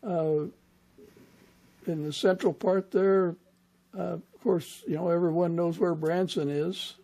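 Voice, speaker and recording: A man's voice: high-pitched, fairly even intonation, slow pace, dark tone, poor audio. An older man hosting a podcast, chatting casually as he interviews a guest. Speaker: podcast host.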